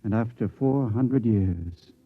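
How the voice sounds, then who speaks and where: A man's voice: on the low side, slow pace, breathy edge. An actor playing a shaken man who insists on an unbelievable truth, with insistent, urgent delivery in a 1950s radio drama. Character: shaken man revealing alien castaways' escape, urgent. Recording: muffled 1950s radio recording.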